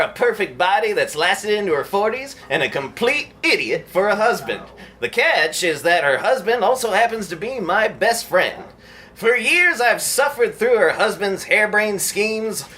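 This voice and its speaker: A man's voice: high-pitched, pushed and bright, exaggerated voice. A man voicing a sleazy, lecherous middle-aged cartoon bachelor, theatrically reading out a comedy parody story in the first person. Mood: leering, exasperated. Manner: leering, theatrical, punchy.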